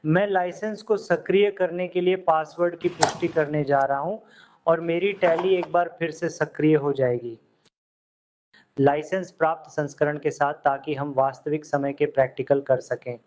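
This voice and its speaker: A man teaching accounting software step by step, in steady instructional tones over a screen recording. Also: a little high, normal speed, a little hiss or hum. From India. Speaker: software tutor. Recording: dull lo-fi audio.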